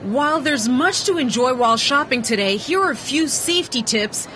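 A woman's voice: high-pitched, moderate pace, background noise. Young woman reporting local TV news. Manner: clear, even reporter delivery.

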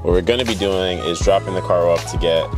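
Low-voiced young American man vlogging. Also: a little fast. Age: young adult. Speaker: car vlogger. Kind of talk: casual talk to camera. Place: inside a parked car, later a garage. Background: background music.

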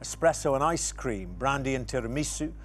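A man's voice: medium pitch, animated, slight background noise. A middle-aged man hosting a studio quiz show, reading questions and chatting with contestants. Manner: brisk, reads questions clearly.

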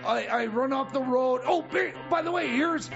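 Man playing a high, creaky, flustered sidekick. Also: normal speed. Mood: flustered, nervous. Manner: stammering, dodging, rambling. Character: evasive, flustered sidekick visiting a jailed friend. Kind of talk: improvised comedy radio theater. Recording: dull lo-fi radio sound over loud music.

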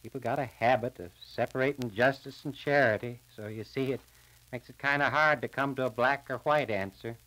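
A man's voice: medium pitch, fairly bright tone, close to an everyday voice. Man acting plain folksy townsman, thoughtful, old 1940s radio serial, crackly record sound. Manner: folksy, deliberate. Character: thoughtful plain-spoken small-town man, fair-minded.

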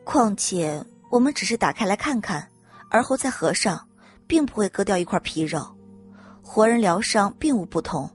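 A cool young noblewoman played by a woman narrator in an audio drama. She speaks levelly and firmly at a middle pitch, with a slightly husky tone. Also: moderate pace, soft background music. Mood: measured, earnest. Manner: flat, level, unyielding. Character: cold, composed young noblewoman forensic examiner.

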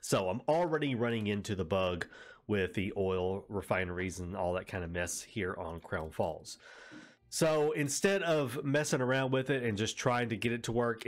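A man with a head cold and a nasal voice, giving casual commentary as he plays a city-building game, rambling and correcting himself as he explains. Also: on the low side. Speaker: let's play host.

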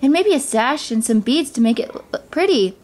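A woman voicing a gentle, earnest, downtrodden young woman in a storybook fairy tale. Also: high-pitched, animated, moderate pace, pitched above the performer's own voice. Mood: pleased.

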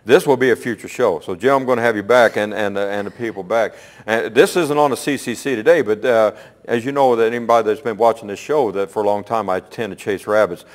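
An older man with a fairly low voice hosts a local interview program. He speaks in a folksy, halting manner, rambling through his questions and asides. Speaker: host. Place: small TV studio.

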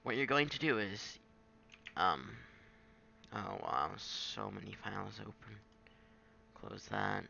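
A man giving a casual, improvised software tutorial in a halting, self-deprecating way on a home microphone. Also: on the low side, very animated, gravelly, lo-fi sound, a little hiss or hum. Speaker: tutorial maker. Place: at a home computer, screen recording.

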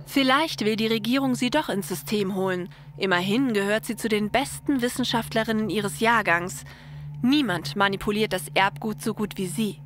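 A woman's voice: medium pitch, moderate pace, a bit bright. A woman narrator reading a scripted story. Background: faint music.

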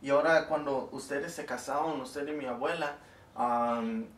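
Curious grandson interviewer, roomy home video. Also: male voice, medium pitch, a little slow, a bit bright, distant mic. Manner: curious, prompting with many fillers. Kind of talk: family oral history interview. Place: home living room, indoors. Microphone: camera mic behind the camera.

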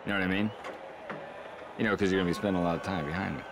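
Low, smug villain race car. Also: male voice, fairly even intonation, racing. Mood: smug, taunting. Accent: American. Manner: sneering, needling, repetitive. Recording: clear engine and trackside noise.

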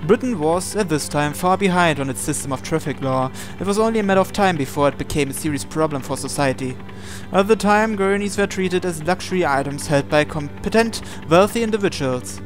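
A man's voice: a little high, expressive, brisk, music in the background. A man reading visual novel narration aloud, sometimes stumbling over and repeating words as he goes. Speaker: video game player reading aloud.

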